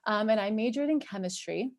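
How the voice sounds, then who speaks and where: A woman's voice: mid-range voice, a little fast, dry recording, studio quality. A middle-aged woman from New York, a cosmetic chemist and entrepreneur whose first language was Turkish, telling her story in a chatty, upbeat way on a podcast. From New York, USA. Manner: chatty, upbeat, many ums and likes. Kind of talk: casual interview storytelling.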